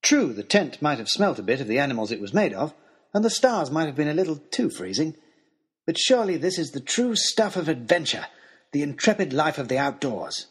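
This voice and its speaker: A man playing an earnest, lovestruck gentleman adventurer who tells his journey in formal, old-fashioned, expressive storytelling. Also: on the high side, fairly quick, husky. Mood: cheerful. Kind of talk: dramatised first-person storytelling, as a letter to a lady.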